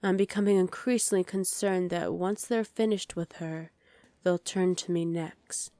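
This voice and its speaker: A woman's voice: on the low side, fairly even intonation, measured pace, dark tone, no echo, lightly acted. A woman playing a dominant evil sorceress queen in an ASMR roleplay, commanding and condescending with cool menace. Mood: scheming. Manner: commanding, condescending, coolly threatening.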